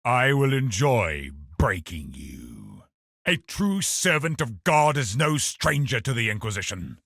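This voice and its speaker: An actor playing a scheming, pious villain in a video game, a cold religious officer who speaks in a measured, haughty, husky voice. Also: male voice, medium pitch, sing-song, a bit bright, no room sound, studio quality, full character voice. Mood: cold, defiant. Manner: measured, haughty, calculating, formal. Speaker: game boss character. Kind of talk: dramatic video game voice acting.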